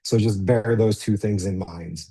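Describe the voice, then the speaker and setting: Fast-talking American man, low and mellow. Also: steady tone, breathy edge. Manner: fast, straightforward, chatty delivery. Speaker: guest speaker. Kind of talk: online business presentation.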